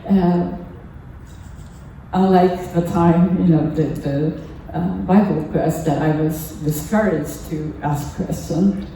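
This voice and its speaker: An older woman from Japan, a native Japanese speaker with a Japanese accent, gives a halting, earnest personal talk as a lay speaker at a temple service. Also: low voice, dark tone. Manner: halting, earnest, pausing often mid-phrase. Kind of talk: personal testimony talk to a congregation. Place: temple hall during a service. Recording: echoey room, distant mic, faint noise.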